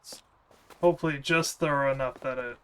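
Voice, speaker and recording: Male game streamer, bright voice, easygoing rambling chat with viewers. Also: medium pitch, fairly even intonation, a little fast, clean tone. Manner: laid-back, rambling, answers chat questions with small laughs. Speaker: gaming streamer. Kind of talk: casual gameplay chat with viewers.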